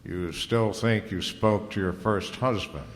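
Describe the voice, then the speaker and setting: A man playing a wry, laconic private detective with a deadpan, wisecracking delivery in an old-time radio drama. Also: lowish voice, unhurried, big-room sound, lightly acted. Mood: deadpan, probing. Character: wry, laconic male private detective narrating his case.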